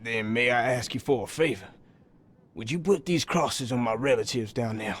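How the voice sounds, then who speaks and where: An actor playing a grieving, humble local man in a video game, speaking quietly and pleadingly. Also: mid-range voice, animated, normal speed, close to an everyday voice.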